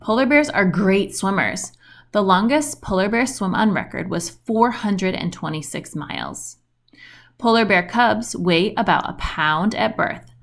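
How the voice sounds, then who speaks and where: A woman reading a children's book aloud in an expressive storytelling style with questioning intonation. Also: medium pitch, measured pace, creaky.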